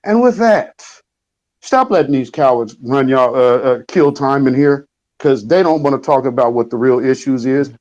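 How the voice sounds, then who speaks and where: A man's voice: medium pitch, lively intonation, moderate pace, darkish tone. A man ranting heatedly at listeners in an online audio room, scolding and confrontational. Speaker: caller in an audio chat room. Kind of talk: heated rant. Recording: muffled lo-fi audio.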